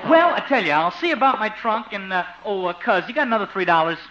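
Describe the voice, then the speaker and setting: An actor playing a lazy, smooth-talking young man in a 1950s radio sitcom, breezy, slangy and cocky. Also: high-pitched, lively intonation, fast, bright, forceful tone. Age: young adult. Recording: dull old-time radio recording.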